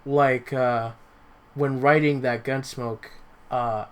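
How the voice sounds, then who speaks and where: A man chatting casually as a podcast co-host, halting and repeating words as he starts his sentences. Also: mid-range voice, fairly even intonation. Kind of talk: casual chat.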